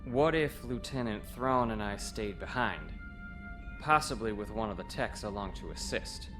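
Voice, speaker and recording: A young military aide acted by a man speaks in a fairly low voice, polite and tactful. It is a sci-fi comic dub with music clearly behind the deferential, dutiful officer. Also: lively intonation, moderate pace, natural voice. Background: prominent music.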